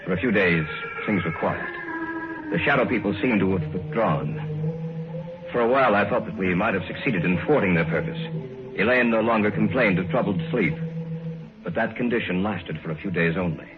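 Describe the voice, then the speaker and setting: Male actor as deep narrator of a vintage radio chiller, measured telling, tinny sound, music under. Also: close to an everyday voice. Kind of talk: 1950s radio drama narration.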